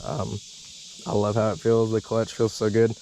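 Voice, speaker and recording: Hoarse man rambling outdoors. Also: on the low side, monotone, normal speed, warm tone, slight background noise, poor audio. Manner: rambling, lots of uh and um. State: slightly hoarse with a cold. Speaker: dirt bike vlogger. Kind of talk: casual vlog walkthrough.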